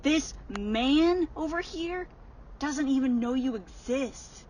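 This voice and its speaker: A woman playing a stern female overseer in an audio horror drama, admonishing and measured as she gives warnings. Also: high-pitched, expressive, darkish tone, a little hiss or hum.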